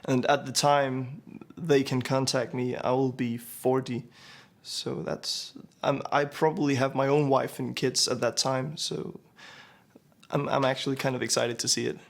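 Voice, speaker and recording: A man's voice: mid-range voice, level tone, measured pace, gravelly. A man of twenty-two, answering interview questions. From Denmark. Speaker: student, interviewee.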